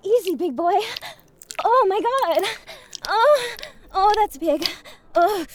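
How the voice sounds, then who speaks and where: A woman playing an exasperated, foul-mouthed adult restaurant worker in an erotic audio roleplay, pleading and swearing between breathy exclamations. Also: extremely high pitch, lively intonation, slow, full character voice. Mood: overwhelmed.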